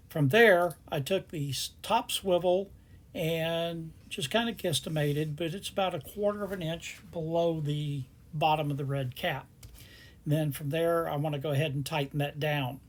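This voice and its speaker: A man hosts a do-it-yourself tutorial in a somewhat high-pitched voice. He narrates in a plain, step-by-step way, explaining the job as he goes. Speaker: DIY tutorial host.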